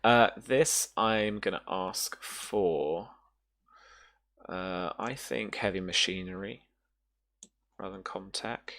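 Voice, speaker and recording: A woman with a very low, bright-edged voice runs a tabletop roleplaying game. She narrates informally, thinking aloud and hesitating as she sets out choices for her players. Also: takes it slowly, creaky, long pauses. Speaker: game master.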